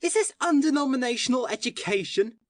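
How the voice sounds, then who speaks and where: A woman's voice: mid-range voice, sing-song, fairly quick, no echo, clean studio sound. A woman reading an audiobook voices an agitated, scornful shabby young man who speaks sharply. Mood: incredulous. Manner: sharp, heated outbursts. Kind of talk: audiobook character voice.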